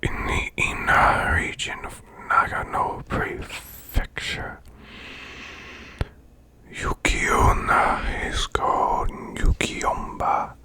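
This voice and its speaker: A man voicing a male demon boss in an ASMR bedtime reading, with a breathy, rasping, growled whisper and slow, deliberate storytelling. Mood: hushed, soothing. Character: male demon boss, growling while lulling listener to sleep.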